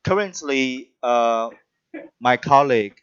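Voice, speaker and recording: A man hosts an online performance event over a video call. He speaks at a slow, deliberate pace and often restarts his sentences. His voice sounds dull and muffled, with a lo-fi quality. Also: mid-range voice, animated, fairly bright tone, clean tone. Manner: halting, with frequent restarts and fillers. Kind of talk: live-stream hosting, introducing guests.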